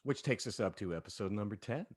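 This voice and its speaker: A man's voice: on the low side, expressive, fast talker, a little mellow, husky. A man chatting casually and expressively as he leads a group discussion among performers. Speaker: audio drama cast member leading a cast discussion.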